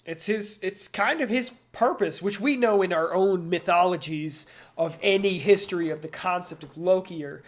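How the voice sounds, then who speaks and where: A man co-hosting a casual pop culture discussion, thinking out loud and posing rhetorical questions. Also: high-pitched, normal speed, hardly any pauses. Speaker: podcast co-host.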